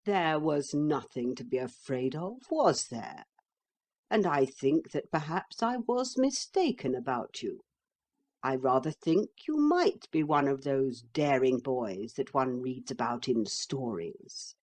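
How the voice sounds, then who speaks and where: Woman reading a storybook talking horse, male, lowish voice, slow and teasing, lively swoops. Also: exaggerated voice. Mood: coaxing.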